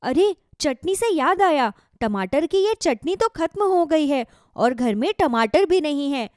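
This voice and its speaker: A woman plays a thrifty village wife from a cartoon moral story. She is outspoken and emphatic, with a very high voice and a dry sound. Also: very animated, a little slow, a little mellow, dry recording. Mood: helpful.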